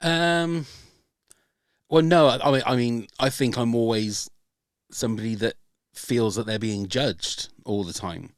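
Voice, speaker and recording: A man's voice: medium pitch, unhurried, no room sound, clean studio sound. An older man hosting a podcast, talking hesitantly and openly about himself, with many ums and restarts. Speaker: podcast host. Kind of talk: casual chat.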